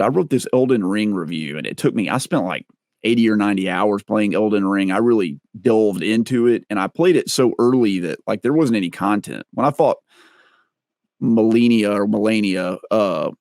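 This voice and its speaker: A middle-aged man with a deep, clear, slightly boomy voice, chatting casually as an interview guest and laughing at himself, on a large studio microphone. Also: a little mellow, no echo. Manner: rambling, self-deprecating, laughs at himself. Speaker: interview guest, content creator. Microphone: large-diaphragm studio microphone.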